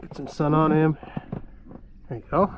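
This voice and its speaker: A man's voice: on the high side, moderate pace, rough recording. A man, congested from a cold, chatting casually while fishing from a boat on a lake. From Canada. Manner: relaxed, chatty, talks to himself and the fish. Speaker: fishing vlogger. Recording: windy outdoor recording with clear noise.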